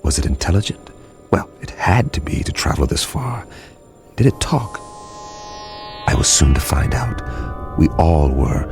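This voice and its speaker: An actor playing a wry, detached scientist in a radio drama, conversational and musing, with a gravelly voice. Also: male voice, extremely low pitch, sing-song, a little slow, long pauses, music in the background, heavily acted. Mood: wry, reflective. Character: wry, detached male scientist recounting alien arrival.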